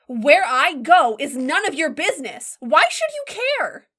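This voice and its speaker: A woman's voice: high-pitched, very animated, measured pace, a bit bright, heavily acted. A woman playing an angry, scornful teenage schoolgirl in a fan audio drama, snapping with irritated contempt. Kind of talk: fan audio drama, anime game adaptation.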